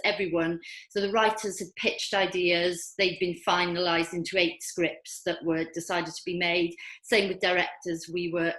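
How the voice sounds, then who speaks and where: A woman's voice: on the low side, a bit bright. An older Welsh woman whose first language is Welsh, a filmmaker answering questions in an online festival Q&A, hesitant with many ums but enthusiastic. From Wales. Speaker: filmmaker guest. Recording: echoey room.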